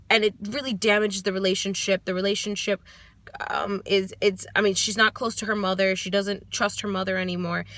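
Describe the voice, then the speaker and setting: A woman's voice: mid-range voice, pushed and bright, no room sound. A young American woman giving a casual book review from her car, thoughtful and hesitant, with many ums and restarts. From United States. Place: parked car.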